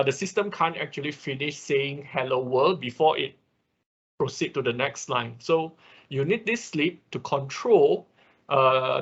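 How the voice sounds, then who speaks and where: A man's voice: on the high side, fairly bright tone, slightly rough, lo-fi sound. A man giving an informal step-by-step tutorial as instructor of an online class, often checking in as he explains. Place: online class, screen-share voice-over.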